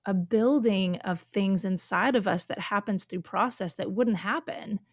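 A woman's voice: medium pitch, measured pace, no echo, studio quality. A woman hosting a faith podcast, telling a personal story in a casual way with lots of "like". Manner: warm storytelling, frequent "like" and affirming murmurs. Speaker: podcast host.

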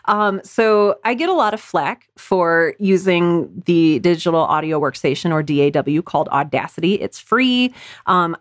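A woman's voice: mid-range voice, dry recording, clean studio sound. A woman podcast guest talking candidly and casually, explaining her creative process and giving advice in a chatty way. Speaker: interview guest, audio drama podcaster. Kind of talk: casual interview talk, sharing advice.

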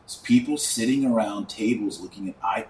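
A man's voice: fairly low pitch, normal speed, a little mellow. A man hosting a religious podcast, giving earnest, emphatic preachy commentary with repeated phrases for rhetorical effect. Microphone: wrong microphone picking up from a distance. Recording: echoey room, distant wrong microphone, faint noise.

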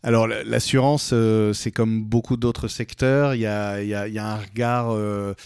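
A man's voice: on the low side, steady tone, normal speed, a little mellow, clear, resonant tone, no echo. Male radio interviewer with a dry sound. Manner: hesitant, repeats and rephrases as he builds questions. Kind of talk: casual morning radio interview. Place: indoors, radio studio.

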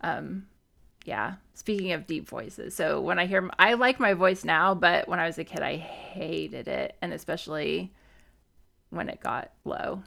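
Middle-aged woman co-host from southern Missouri, candid and reflective, low with lots of vocal fry. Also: unhurried, fairly bright tone. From southern Missouri, USA. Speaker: podcast co-host. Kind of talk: casual chat.

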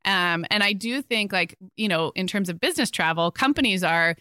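A woman co-hosting a podcast in casual conversation, slightly hoarse from a cold, thinking her ideas through out loud. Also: mid-range voice, moderate pace, dry recording, studio quality. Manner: thoughtful, talks through ideas with restarts. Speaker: podcast co-host.

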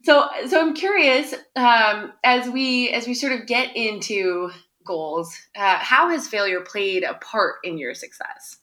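A woman's voice: on the high side, unhurried, pushed and bright. A woman hosting a podcast, giving an upbeat, chatty introduction and interviewing a guest, with many small fillers. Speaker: podcast host. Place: my town.

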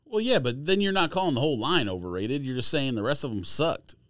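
A man from Los Angeles co-hosting a podcast in casual banter, slangy and quick to argue and correct. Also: mid-range voice, animated, a bit bright, dry recording, clean studio sound. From Los Angeles, United States. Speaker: podcast co-host.

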